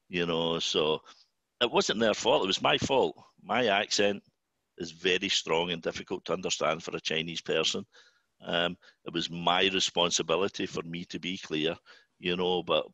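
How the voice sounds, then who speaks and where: Older Glasgow man, low bright voice, chatty guest speaker, muffled dry call audio. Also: no room sound. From Scotland. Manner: chatty, repeats words when starting sentences. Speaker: guest speaker, company executive. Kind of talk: informal talk to students.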